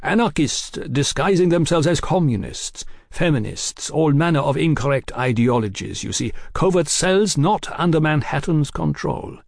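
A man's voice: a little high, expressive, normal speed, vocal fry, hardly any pauses, no echo, clean studio sound, voice pushed up. A man voicing a bitter, resentful middle-aged exile, in a storybook reading. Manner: aggrieved, rage held back.

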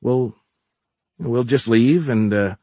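A man's voice: on the low side, expressive, normal speed, breathy edge, no echo. A rattled, foul-mouthed man on the run, acted out by a male narrator. He sputters and frets in a flustered way, captured clean and dry like an audiobook. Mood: nervous pleading.

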